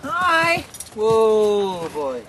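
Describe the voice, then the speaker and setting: An actress playing a noncommittal horse-riding farm partner in a radio drama, matter-of-fact and guarded. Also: female voice, mid-range voice, big pitch swings, drawn-out words, a bit bright, a little hiss or hum, exaggerated voice. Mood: brisk, guarded. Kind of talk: naturalistic radio drama acting.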